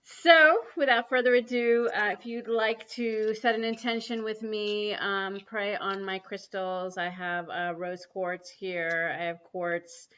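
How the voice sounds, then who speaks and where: New woman, spiritual livestream host, earnest and halting, bright tone, muffled stream audio. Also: mid-range voice, fairly even intonation, smooth. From New York City. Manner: earnest, many ums and restarts. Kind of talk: spiritual livestream talk and guided prayer. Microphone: computer mic on a livestream.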